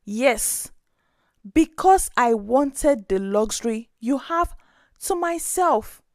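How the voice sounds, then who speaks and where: Woman playing desperate young female rival, defiant, insistent, high swooping voice, storybook drama. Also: expressive, takes it slowly, darkish tone, dry recording, studio quality. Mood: brazen. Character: brazen, desperate young woman stealing a husband.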